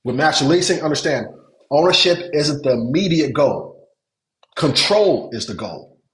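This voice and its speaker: A man's voice: on the high side, expressive, moderate pace. Nigerian-born man coaching in an echoey room. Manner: repeats words for emphasis, asks if it makes sense. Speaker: hotel investing coach. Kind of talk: webinar teaching.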